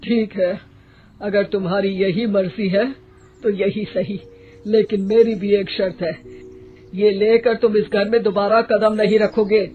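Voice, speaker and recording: A woman voicing a stern, shrewd older father in a cartoon story, firm and measured. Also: medium pitch, level tone, natural voice. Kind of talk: cartoon moral-story acting. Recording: thin phone-like band, loud music.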